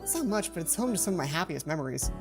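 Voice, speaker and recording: A man's voice: on the high side, sing-song, very fast. A man voicing a cheerful, helpful young male student tour guide from a visual novel, earnest and chatty. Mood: cheerful, proud. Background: game music.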